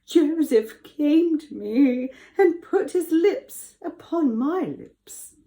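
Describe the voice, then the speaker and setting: Woman playing a scheming storybook wife, high-pitched, slow, mock-weeping and melodramatic. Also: expressive, warm tone, heavily acted. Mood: fake weeping. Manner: drawn-out, mock-weeping delivery. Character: married woman feigning tearful distress, deceitful, melodramatic.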